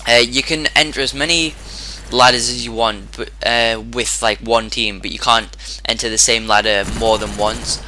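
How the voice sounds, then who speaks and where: A man with a hoarse, sore-throated voice giving casual commentary over his own gameplay, rambling and often restarting his sentences. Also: mid-range voice, fairly even intonation, moderate pace, few pauses, sharp tone, a little hiss or hum, poor audio. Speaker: gaming commentator.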